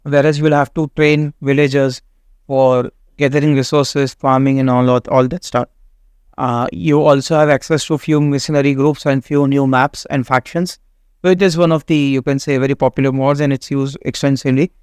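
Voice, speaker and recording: A man, not a native English speaker, hosting a game walkthrough in a halting way with many fillers and restarts. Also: medium pitch, fairly even intonation, darkish tone, clean tone, no echo, clean studio sound. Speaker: gaming channel host. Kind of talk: casual game walkthrough commentary.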